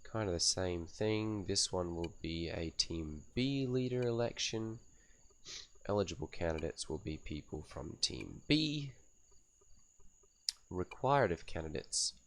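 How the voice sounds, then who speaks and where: A man hosting a gaming channel, raspy and coughy from a cold, casually thinking aloud as he reads out and fills in game settings one by one. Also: low voice, expressive, a little slow, a bit bright, a little hiss or hum. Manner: thinks aloud while reading out menu settings. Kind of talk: casual game setup commentary.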